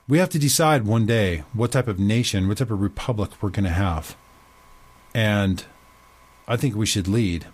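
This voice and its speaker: A man hosting his own commentary show, talking emphatically, slowing to stress key words and dropping low at the ends of his points. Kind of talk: opinionated commentary reading excerpts aloud.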